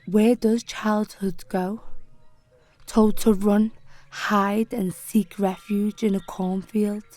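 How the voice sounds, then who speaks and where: A woman poet giving a slow, measured, solemn spoken-word poetry reading. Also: mid-range voice, level tone, mellow.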